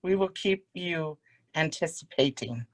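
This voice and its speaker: A woman's voice: low-pitched, expressive, unhurried. A woman co-hosting a casual podcast, tossing in short teasing jokes. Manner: teasing, playful quips. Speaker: podcast co-host. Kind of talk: casual chat.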